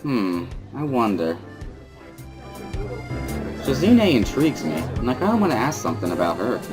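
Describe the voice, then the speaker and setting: A man's voice: medium pitch, huge pitch swings, normal speed. A man giving an understated, introspective first-person dramatic reading of a visual novel as its narrator. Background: guitar music.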